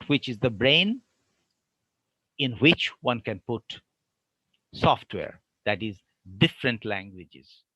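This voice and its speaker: A man lectures at an unhurried, measured pace, breaking his points into short phrases. His voice sits at an ordinary middle pitch. The sound is close and dry, with no room echo. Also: a bit bright. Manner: deliberate, explanatory, pausing between phrases. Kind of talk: online academic lecture.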